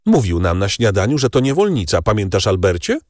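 Gossipy society ladies and gents, a guy doing the voices, pitched up, super animated. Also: fairly quick, no room sound, clean studio sound. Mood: chatty. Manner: raised, animated voice for dialogue. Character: gossiping society ladies and young gentlemen at the opera.

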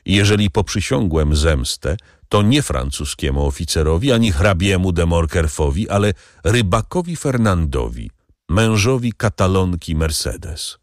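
A man's voice: deep voice, expressive, measured pace, no room sound, clean studio sound. A man voicing a coldly ironic, vengeful middle-aged nobleman.

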